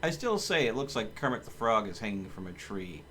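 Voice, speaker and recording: A man's voice: on the low side, very animated, brisk, pushed and bright, slight background noise. A man giving casual, blunt and grumbling live commentary while streaming a game. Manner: blunt, grumbling, mildly cursing.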